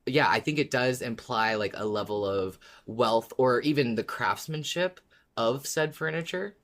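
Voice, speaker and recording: A man's voice: mid-range voice, measured pace, fairly bright tone. A man co-hosting a podcast, chatting casually and giving recaps of a story. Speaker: podcast co-host.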